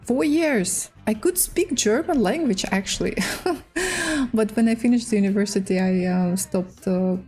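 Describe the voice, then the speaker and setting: A woman with a Russian accent, a native Russian speaker, hosting a casual chess livestream in halting, hesitant English. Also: medium pitch, warm tone, breathy edge, faint music behind. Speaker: livestream host and chess player. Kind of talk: casual livestream chat while playing chess.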